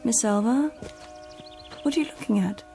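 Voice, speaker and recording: A woman's voice: fairly high pitch, normal speed, vocal fry, warm tone. An actress playing a fussy, fretful woman who looks after an invalid in a vintage television drama. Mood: wary, curious. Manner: scolding, fretful. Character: fussy, exasperated female companion to an invalid. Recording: vintage TV soundtrack, faint music behind.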